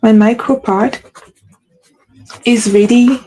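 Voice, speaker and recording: Earnest woman coaching with gentle warmth. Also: on the high side, fairly even intonation, slow, a little hiss or hum. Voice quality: mentions having a stutter. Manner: earnest, repetitive, with frequent "right?" check-ins and self-corrections. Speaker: podcast host and spiritual coach. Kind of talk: solo motivational talk.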